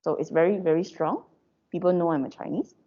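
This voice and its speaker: A woman's voice: fairly low pitch, a little fast, warm tone. A woman from Malaysia with a Malaysian English accent, giving an informal lecture to her students in a chatty way, with many fillers and tag questions. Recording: dull lo-fi recording.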